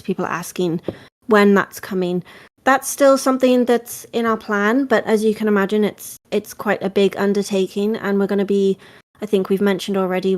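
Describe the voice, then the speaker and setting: A woman with a British accent, chatting casually on a livestream about game updates in a friendly, explanatory way. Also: mid-range voice.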